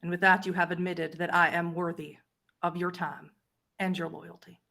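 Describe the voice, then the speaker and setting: A woman voicing a haughty vampire prince, an elegant powerful woman speaking in a formal, condescending, measured way. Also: lowish voice, level tone, vocal fry, bright, forceful tone. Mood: imperious, measured.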